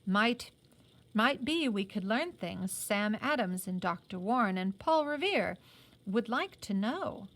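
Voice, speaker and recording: A woman's voice: medium pitch, expressive, takes it slowly, a bit bright. A woman voicing a bold teenage boy in a historical storybook, understated and plotting. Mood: scheming. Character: bold, scheming teenage boy in colonial Boston.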